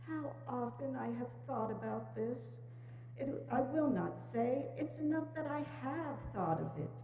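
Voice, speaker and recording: A woman acting a sorrowful, high-voiced young fiancée. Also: expressive, a little slow. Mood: sad, resolute. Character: sorrowful, resolute young fiancée releasing her changed lover. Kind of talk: dramatic stage reading. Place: church hall, dramatic reading before microphones. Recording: dull, noisy, echoing church hall.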